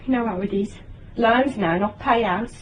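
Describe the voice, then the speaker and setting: Actress as a high-voiced, frightened young British mother. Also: distant mic, close to an everyday voice, poor audio. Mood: distressed, desperate. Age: young adult. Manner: hesitant, halting, rambling. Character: frightened young single mother in desperate debt. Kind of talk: radio drama acting.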